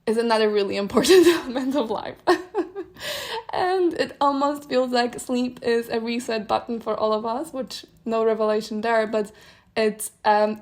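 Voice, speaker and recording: A young woman whose first language is Polish, hosting a solo podcast and reflecting aloud in a thoughtful, meandering way with soft laughs. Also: fairly high pitch. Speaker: podcast host.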